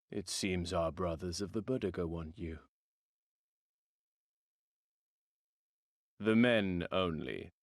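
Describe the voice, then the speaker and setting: Arrogant sun tribe ruler in a game, low voice, slow n pompous, old-timey wording. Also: male voice, no room sound, studio quality. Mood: dismissive. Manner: pompous, archaic formal speech. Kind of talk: theatrical video game cutscene acting.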